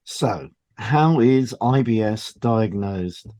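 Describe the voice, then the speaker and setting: A man co-hosting a podcast, reading scripted health information in a measured, explanatory way. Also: fairly low pitch, animated, mellow. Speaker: podcast co-host and hypnotherapist. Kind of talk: scripted educational podcast, read aloud.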